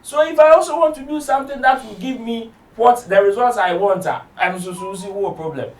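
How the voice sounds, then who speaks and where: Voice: mid-range voice, brisk, recorded from a distance. A speaker in a recorded interview, arguing a point and mixing English with pidgin. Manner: mixes English with pidgin, insistent. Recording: echoey room, away from the mic.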